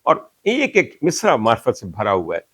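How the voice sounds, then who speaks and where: Older pakistani guy hosting, dry voice, reads punjabi lines slow and loud. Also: mid-range voice, wildly swooping pitch, husky, no room sound. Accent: Pakistani Urdu. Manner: declaiming Punjabi verses slowly and emphatically. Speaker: talk show host. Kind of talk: reflective monologue.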